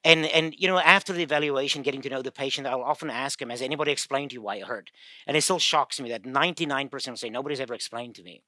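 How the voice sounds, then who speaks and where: A South African man talks through patient stories in a lively, bright voice, often acting out what patients say. The sound is close and dry, with no room echo. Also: medium pitch, rapid-fire. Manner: storytelling, laughs easily, quotes patients. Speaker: guest pain expert. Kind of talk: casual explanatory chat.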